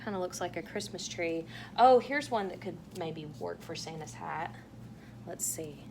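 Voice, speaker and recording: Animated woman reading to kids, noisy recording. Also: on the low side, unhurried, vocal fry. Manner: playful, exaggerated wondering questions to young listeners. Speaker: children's read-aloud host. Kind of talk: animated picture-book read-aloud for young children.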